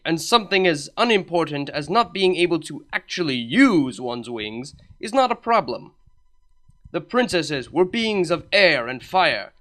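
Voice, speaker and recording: Man narrating with wry, lively delivery. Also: fairly high pitch. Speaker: fan fiction reader. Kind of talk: comedic story narration.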